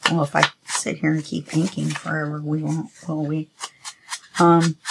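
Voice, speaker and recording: A woman's voice: low voice, gravelly. A woman hosting a craft tutorial, casually chatting to herself as she works, a little sniffly. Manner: chatty, talks to herself while working. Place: craft table at home. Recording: noisy home recording.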